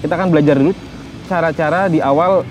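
A man's voice: fairly high pitch, fairly even intonation, quick pace, darkish tone, soft background music. A man, explaining things as a guide and instructor. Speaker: jet ski guide and instructor. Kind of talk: explanatory interview answers. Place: outdoors on a grassy seaside shore.

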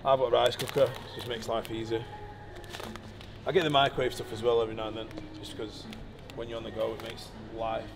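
A man's voice: mid-range voice, little pitch movement, fairly quick, creaky, noisy background. A young man vlogging casually in a supermarket, cheeky and jokey, with a bit of swearing. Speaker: bodybuilder vlogger. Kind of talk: casual vlog walkthrough.

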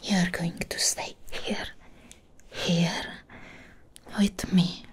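Woman playing a slow, whispering possessive vampire ex-girlfriend. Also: fairly low pitch, level tone, heavily acted. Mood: possessive, coaxing. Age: young adult. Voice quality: breathy whisper. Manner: slow, drawn-out, coaxing. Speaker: ASMR roleplayer. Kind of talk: soft-spoken ASMR roleplay. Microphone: close microphone.

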